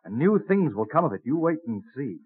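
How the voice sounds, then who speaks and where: Eager young Quaker husband, animated, tinny radio. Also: male voice, medium pitch, a little fast, breathy edge, no echo. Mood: excited, dreamy. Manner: earnest, enthusiastic, theatrical delivery with archaic thee and thy. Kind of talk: mid-century American radio drama acting. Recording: tinny, band-limited vintage radio recording.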